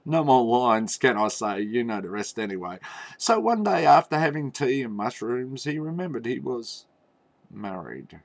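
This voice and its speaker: A man telling a mock fairy tale in a theatrical, tongue-in-cheek way, with halting pauses and knowing asides. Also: mid-range voice, sing-song, vocal fry, no room sound. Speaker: storyteller.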